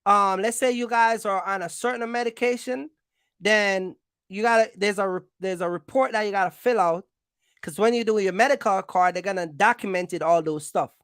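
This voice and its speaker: A woman's voice: mid-range voice, moderate pace, dry recording, clean studio sound. A woman hosting an informal explainer for viewers, speaking bluntly and emphatically in colloquial, slangy language and repeating phrases for stress. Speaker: trucking channel host giving advice.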